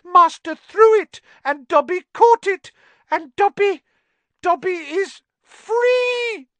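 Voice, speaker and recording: Casting: a devoted, squeaky little house elf, very high and shrill, warbling. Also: male voice, very animated, takes it slowly, a bit bright, no room sound, heavily acted. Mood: wondering. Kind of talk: audiobook character voice.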